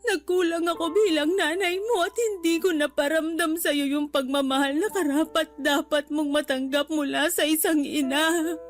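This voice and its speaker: Woman acting high-pitched tearful, remorseful Filipino mother. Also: natural voice. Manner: pleading, emotional. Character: remorseful, tearful mother begging her son's forgiveness. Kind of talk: Filipino radio drama acting.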